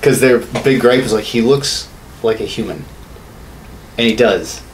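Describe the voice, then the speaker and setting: A man's voice: mid-range voice, a little slow, rough recording. A man in his thirties chatting casually and bluntly as a podcast co-host, swearing freely as he gives his opinions. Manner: blunt, profane. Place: small home studio room. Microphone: podcast mic on desk boom arm. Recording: clearly audible background noise.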